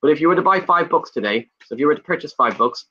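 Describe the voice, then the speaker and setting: Pushy, bright-voiced Irish man pitching. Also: expressive, breathy edge, dull audio. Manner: fast, pushy, lists things off in rapid bursts. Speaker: guest, property investor. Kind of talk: enthusiastic sales pitch in conversation. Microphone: video call.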